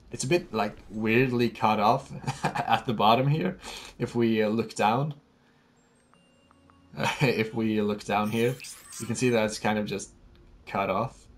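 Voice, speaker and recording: A young man from Norway with a Norwegian accent, giving chatty, enthusiastic gameplay commentary and often repeating himself. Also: lowish voice. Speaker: let's play host.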